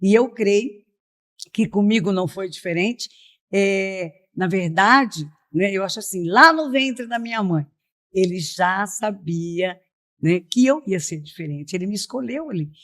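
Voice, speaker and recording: A woman's voice: mid-range voice, animated, unhurried, a bit bright. Woman telling her personal testimony. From Piracicaba, São Paulo state, Brazil. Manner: storytelling, often checks with "né?". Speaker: missionary, podcast guest. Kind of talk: personal testimony in a conversational interview.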